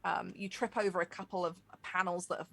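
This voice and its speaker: Creaky-voiced woman running a tabletop game. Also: lowish voice, level tone, a little fast, no echo. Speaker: game master. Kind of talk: narrating a tabletop role-playing game.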